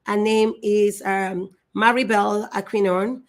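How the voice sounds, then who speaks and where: A woman's voice: medium pitch, steady tone, slow. A middle-aged woman hosting a live online show, effusive and emotional, repeating herself and restarting her sentences. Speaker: show host.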